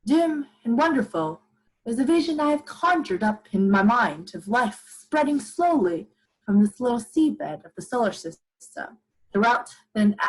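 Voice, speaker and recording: A grave survivor recounts a devastated world in deliberate, literary phrases, played by a young woman. The voice is female and husky, in radio play narration style. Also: fairly high pitch, poor audio. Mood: reflective. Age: young adult. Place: living room at home, video call.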